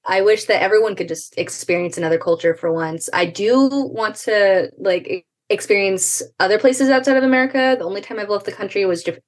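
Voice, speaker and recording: A teenage girl as a podcast guest, answering in a thoughtful, measured way with frequent hesitant ums. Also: medium pitch. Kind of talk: casual interview chat.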